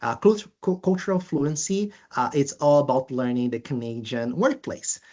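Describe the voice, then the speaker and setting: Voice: mid-range voice, darkish tone. A presenter from Brazil with a Brazilian accent, walking through course details in a webinar, speaking with frequent uhs and restarts. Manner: halting, many filler uhs and restarts. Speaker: language school presenter.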